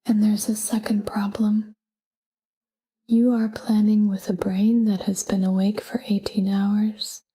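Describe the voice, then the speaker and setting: A woman speaks in a soft, breathy half-whisper, guiding a sleep meditation. Her delivery is slow and even, with a mellow tone that stays calm and gentle throughout. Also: mid-range voice. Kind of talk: scripted guided sleep meditation, soft spoken.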